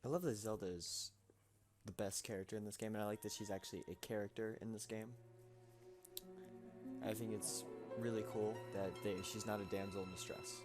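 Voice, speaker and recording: A man's voice: fairly low pitch, steady tone, brisk. A man giving casual, joking gameplay commentary as a let's player. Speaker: video game let's player. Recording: game music behind the voice. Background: loud video game music.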